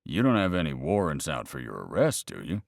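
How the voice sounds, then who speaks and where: A man voicing his laid-back, rough-edged friend in a read-aloud story, casual and offhand. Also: very deep voice, wildly swooping pitch, a little fast, dry recording, studio quality, pitched below the performer's own voice, full character voice.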